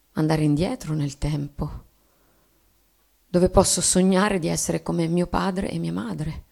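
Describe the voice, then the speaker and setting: An actress playing a weary, melancholy wife and mother in a theatrical radio play reading, pensive and sinking into breathy murmurs. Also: on the low side, dark tone, full character voice.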